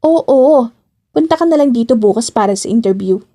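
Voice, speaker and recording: Breezy teasing Filipino best friend, woman acting, high-pitched. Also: lively intonation, normal speed, warm tone. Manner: chatty, slangy, teasing.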